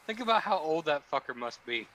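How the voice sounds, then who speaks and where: A man's voice: a little high, very animated, brisk, fairly bright tone. A man playing a tabletop roleplaying game, chatting casually and bluntly about his character's actions.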